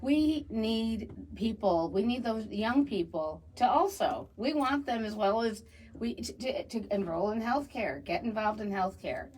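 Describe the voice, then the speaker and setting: A woman's voice: a little high, animated, measured pace, darkish tone, low-quality recording. A woman who is a guest in a radio studio, talking earnestly and repeating words as she thinks. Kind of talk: casual radio chat.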